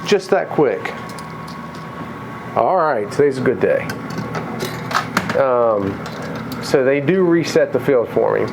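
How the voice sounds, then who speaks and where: A man with a cold, a little raspy and tired, chatting casually through a coin pusher vlog in an arcade. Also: mid-range voice, slow pace, pauses often, noisy background. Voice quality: slightly mumbled from a lozenge. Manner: chatty, excitable at wins. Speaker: vlogger.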